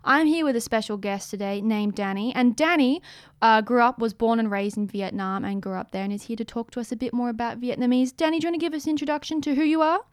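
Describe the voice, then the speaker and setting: A woman's voice: a little high, fast, dry recording, clean studio sound. A young woman hosting a casual interview, asking questions in an upbeat, curious way. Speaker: interview host.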